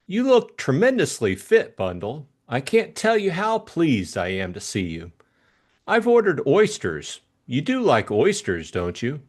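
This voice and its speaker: A man's voice: fairly high pitch, huge pitch swings, a little slow, pitched above the performer's own voice, full character voice. A man voicing a chatty, eager, simple-hearted young upper-class Englishman in an audiobook, gushing and rambling in slangy talk. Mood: eager, delighted. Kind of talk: audiobook character acting.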